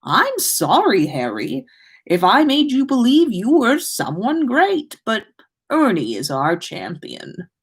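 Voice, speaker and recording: A woman's voice: a little high, wildly swooping pitch, slow, slightly rough, voice pushed up, exaggerated voice. A woman voicing a cheerful, whimsical old male wizard headmaster with a polite, theatrical, sing-song delivery, in a storybook reading. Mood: matter-of-fact.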